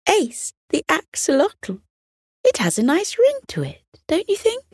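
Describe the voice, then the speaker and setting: A woman voicing an adoring, excited mother in a children's story, gushing with drawn-out wonder. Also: very high voice, no echo, clean studio sound, pitched above the performer's own voice, exaggerated voice. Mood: delighted.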